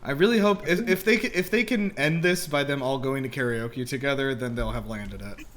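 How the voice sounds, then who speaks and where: A woman's voice: rumbling low, brisk. A woman co-hosting a movie reaction, commenting casually in a joking, exclamatory way.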